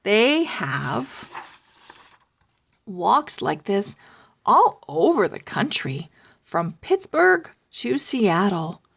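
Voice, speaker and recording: Woman as an easygoing, animated storybook dad. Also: medium pitch, very slow, creaky, pauses often, breathy edge, exaggerated voice. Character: chatty, easygoing father on a family outing.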